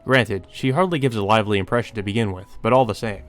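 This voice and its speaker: A man's voice: fairly low pitch, fast talker. A man reading a visual novel aloud as narration for a playthrough, sometimes stumbling and rereading a line. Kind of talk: narration read aloud from a game. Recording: music behind the voice. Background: game music.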